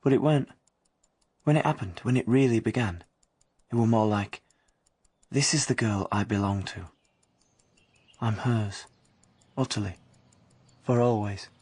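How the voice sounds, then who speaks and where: A man's voice: fairly low pitch, a little slow, long pauses, a little mellow, breathy edge, no room sound. An actor playing a dreamy working-class young man, narrating his love story reflectively and colloquially in a radio drama. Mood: wistful, smitten.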